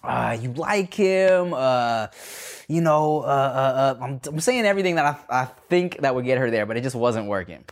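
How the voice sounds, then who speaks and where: Podcast co-host, male, lively storyteller, pitch swinging widely, slightly phlegmy. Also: moderate pace. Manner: animated, laughs often, acts out quotes. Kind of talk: casual storytelling chat.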